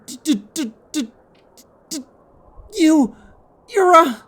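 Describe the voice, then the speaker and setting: A woman playing an anxious, self-doubting young male witch, high-strung and exasperated, in a fantasy audio drama. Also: expressive, slow pace, a bit bright, exaggerated voice. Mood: panicked. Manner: panicky, stammering, exasperated.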